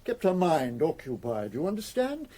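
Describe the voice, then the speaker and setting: Casting: silky, mocking villainous lawyer in radio play, highish mellow voice, theatrically condescending. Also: male voice, wildly swooping pitch, normal speed. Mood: suave, patronising. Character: smooth, condescending crooked lawyer, cultured and menacing. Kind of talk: radio drama acting.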